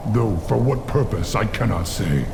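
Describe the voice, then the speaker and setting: An actor playing a solemn, suffering noble warrior held captive in a dramatic video game. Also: male voice, animated, a little slow. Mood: somber. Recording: clear background noise and rumble.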